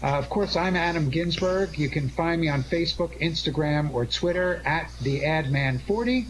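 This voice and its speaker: Man, forties or older, higher-pitched, halting, earnest, casual radio host, internet call, faint noise. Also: poor audio. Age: 40s or older. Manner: halting, earnest, effusively thankful. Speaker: show host and director. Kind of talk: casual live radio chat. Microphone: Skype-type connection.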